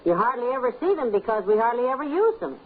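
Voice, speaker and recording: An American woman playing a patient, gently teasing housewife with a dry manner in a 1950s radio comedy. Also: fairly high pitch, big pitch swings, fast talker, some chatter behind. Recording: tinny, faintly noisy old radio recording.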